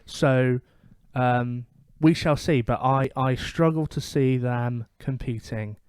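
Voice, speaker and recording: A man chatting on a podcast, working through his opinions slowly and cautiously. He often circles back to restart a thought. His voice is at a medium pitch, caught on a close, dry mic. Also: darkish tone, smooth, dry recording. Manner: hesitant, measured, restarts sentences. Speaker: podcast co-host. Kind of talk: casual comedic podcast chat.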